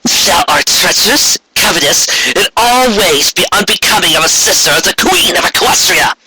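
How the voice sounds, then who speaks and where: Man voicing an imperious high-pitched sun queen pony. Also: lively intonation, moderate pace, a loud crowd, low-quality recording. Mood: grandiose, condemning. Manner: grandiose archaic speech, haughty and declamatory. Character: imperious, self-righteous elder female alicorn sun queen.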